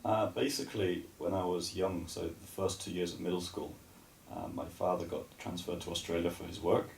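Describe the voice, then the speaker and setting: This is a very deep, gravelly male voice from the UK, talking quickly but with plenty of hesitation and false starts. He sounds a little away from the mic in a roomy studio with a faint hiss underneath. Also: distant mic. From United Kingdom. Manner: relaxed, hesitant, lots of uh and restarts. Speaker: guest. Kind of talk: casual radio interview.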